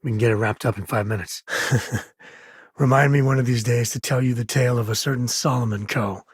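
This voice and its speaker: An actor voicing an easygoing space-western ranger and devoted father in a video game, with a husky voice and a folksy, wry, conversational manner. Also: medium pitch, steady tone, fairly quick, no echo, clean studio sound, natural voice. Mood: wry, reflective. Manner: folksy, wry, casual drawl of phrasing.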